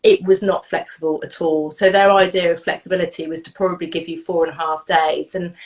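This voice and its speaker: Woman, stuffy from a cold, rambling chatty guest, lowish voice, video call. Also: flat delivery, a little fast. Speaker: guest, therapist and coach. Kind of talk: casual interview chat.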